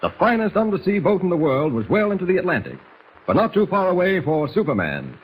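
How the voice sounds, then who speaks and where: A man's voice: high-pitched, sing-song, fairly quick. A man narrating a 1940s radio serial in a theatrical, suspenseful way. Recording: muffled, slightly noisy 1940s radio recording.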